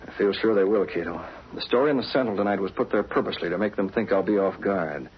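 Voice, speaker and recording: An actor playing a calm, resolute crime-fighting hero in a 1940s radio drama, measured and decisive. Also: male voice, on the low side, natural voice. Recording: muffled, hissy 1940s radio recording.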